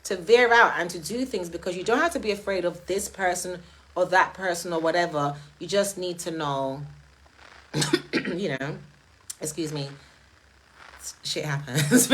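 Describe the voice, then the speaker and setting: A British-accented woman talks straight to her live-stream audience, her voice sitting a touch low. She is emphatic, leans on rhetorical questions and moves quickly from point to point in relaxed, conversational speech. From United Kingdom. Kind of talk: casual live-stream talk, answering chat comments.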